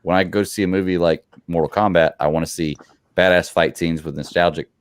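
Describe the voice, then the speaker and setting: A man's voice: deep voice, flat delivery, fast talker, smooth, dry recording, clean studio sound. A man co-hosting a podcast in casual chat, enthusiastic and often repeating words as he starts a thought. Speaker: podcast co-host.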